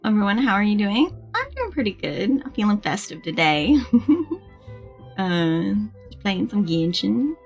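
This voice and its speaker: A woman's voice: mid-range voice, very animated, a little slow. A woman, chatting casually and in a friendly way as she streams a game. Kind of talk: casual livestream chat and game commentary. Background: faint game music.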